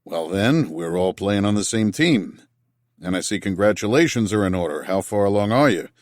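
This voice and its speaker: A man's voice: on the low side, lively intonation, normal speed, no echo, clean studio sound, close to an everyday voice. A man voicing a jovial older male colonel with a Boston accent, hearty and charming.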